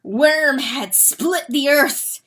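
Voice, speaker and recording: A woman's voice: high voice, extremely slow, a bit bright, pitched above the performer's own voice, exaggerated voice. A woman voicing an anguished, guilt-ridden male rooster in a dramatic animal fantasy audiobook, wailing and choked with self-loathing. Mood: anguished confession. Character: anguished guilt-wracked male rooster, once a proud lord.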